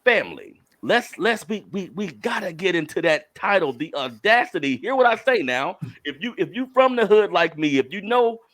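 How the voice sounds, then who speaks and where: A young man hosting a podcast, hyping up his listeners with slangy, energetic talk before interviewing a guest. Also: high-pitched, normal speed, hardly any pauses. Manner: hyped, calls listeners family, slangy. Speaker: podcast host.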